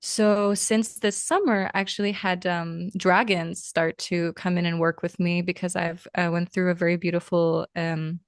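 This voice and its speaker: A woman's voice: lowish voice, little pitch movement, normal speed, few pauses, clear, resonant tone, dry recording, clean studio sound. A young woman who grew up between Lithuania and the US, talking earnestly and meanderingly as a podcast guest, with frequent fillers. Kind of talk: casual interview conversation.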